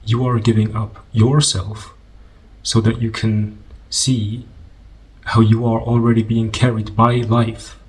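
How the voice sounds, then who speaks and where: A man leading a guided meditation in an informal, conversational way, unhurried and gently instructing, with pauses. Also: fairly low pitch, level tone, rough recording.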